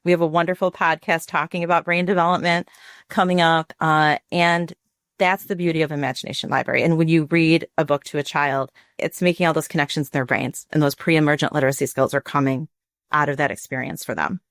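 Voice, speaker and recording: An American woman with a low-pitched voice talks in a conversational interview style. She explains things as a program director would on a podcast. The sound is dry, with hardly any room on it. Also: fairly even intonation, fairly quick, fairly bright tone, no room sound.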